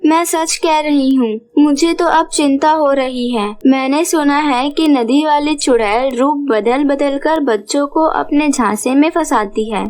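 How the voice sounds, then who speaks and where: A woman voicing a caring, protective mother or mermaid in a cartoon story, with a gentle, concerned manner. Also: high voice, a little slow, hardly any pauses. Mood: worried. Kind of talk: cartoon moral-story voice acting.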